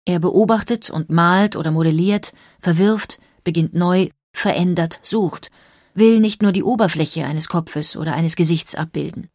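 A woman narrator reading a scripted documentary portrait with measured, precise, literary phrasing. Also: fairly low pitch, a little mellow, no echo, studio quality.

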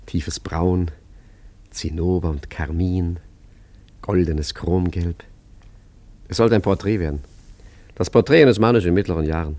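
A sly arty con man in a radio play, low voice, theatrical and ironic. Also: measured pace, long pauses, dark tone. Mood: grandiose, savoring. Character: suave, cheeky male art forger and street painter. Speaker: narrator and lead actor. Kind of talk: German radio play acting and narration.

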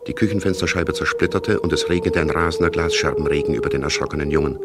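A man reading scripted literary narration in a radio play, in a measured, detached, factual way. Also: extremely low pitch. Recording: clearly noisy background.

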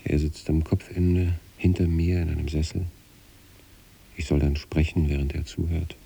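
An actor playing a troubled, detached sanatorium patient who recites letters to his wife in a measured, brooding radio play monologue. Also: a bass voice, level tone, vocal fry, warm tone, slightly rough, exaggerated voice. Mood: introspective, estranged.

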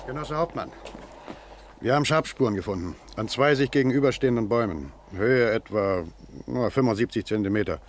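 A man's voice: medium pitch, lively intonation, a little fast, close to an everyday voice. An actor playing a junior policeman giving a clipped, formal report in a German radio crime drama. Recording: outdoor ambience, vehicle noise behind.

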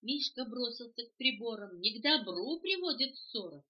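A woman's voice: fairly high pitch, sing-song, moderate pace, dull audio. An older woman reading a children's verse tale aloud with theatrical, emphatic phrasing, on a home cassette recording. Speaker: storyteller reading to a grandchild.

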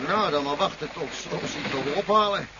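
An actor playing a curt military pilot with a clipped, dryly ironic manner in a 1970s Dutch radio drama. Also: male voice, on the high side, brisk, close to an everyday voice. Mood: relieved, uneasy. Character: male military pilot, curt and cool under pressure. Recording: muffled, noisy 1970s radio recording.

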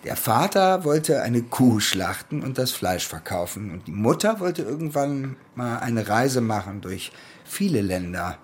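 Radio drama: young soldier, domineering older brother, taunting, mid-pitched, highly animated delivery. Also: male voice, slight background noise. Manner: commanding, taunting. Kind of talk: German radio play acting.

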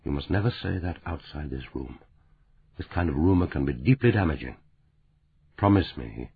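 A man voicing a calm male friend who speaks gently but firmly, measured and steady. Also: rumbling low, a little hiss or hum, pitched below the performer's own voice. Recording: tinny phone-like sound.

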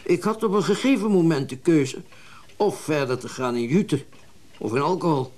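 An actor playing a wealthy, well-bred middle-aged collector in a radio drama, speaking in a genteel, reflective way with hesitant pauses. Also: male voice, a little high, expressive, a little mellow. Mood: reminiscing. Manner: genteel, reflective, hesitant pauses, old-fashioned turns of phrase. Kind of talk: naturalistic radio drama dialogue.

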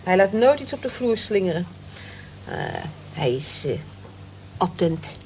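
A woman's voice: medium pitch, animated, unhurried, darkish tone, lightly acted. An actress in an old radio play voicing a smitten, conscientious cleaning woman who talks earnestly and admiringly. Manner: earnest, admiring, defensive when teased. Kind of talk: vintage radio play acting. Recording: dull, hissy old radio play recording.

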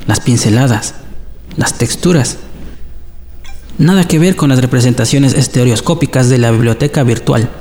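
An actor playing an earnest young time traveler from the future, lost in musing over a painting in an amateur radio drama. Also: male voice, mid-range voice, moderate pace, long pauses, darkish tone, in a large hall, natural voice. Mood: rapturous. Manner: dreamy, earnest inner musing.

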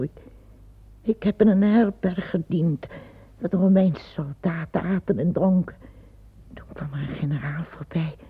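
A weary, fearful old empress, played by an actress, speaking slowly in a very breathy half-whisper. She sounds worn out and uneasy. The old radio play recording is thin and tinny. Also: fairly low pitch, dry recording, full character voice. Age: older. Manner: hushed, breathy, often near a whisper. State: tired.

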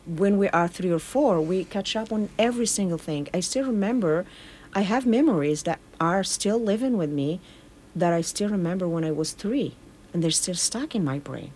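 Earnest woman, slightly low voice. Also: expressive, normal speed, warm tone, a little hiss or hum. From Morocco. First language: Arabic. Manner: earnest, explaining with repeated phrases. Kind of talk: casual radio chat.

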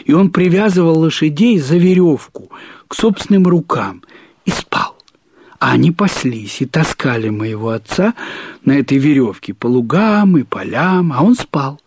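Husky-voiced man narrating a story. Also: fairly high pitch, lively intonation, a little mellow, dry recording, clean studio sound. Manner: measured, deliberate, with pauses and emphatic repetition of phrases. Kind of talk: expressive literary narration of a short story.

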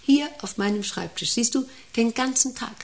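An actress in a radio play as a domineering, eccentric factory director who rambles, commands and asks intrusive questions. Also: female voice, fairly high pitch, moderate pace, natural voice. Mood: obsessive. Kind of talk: German radio play acting.

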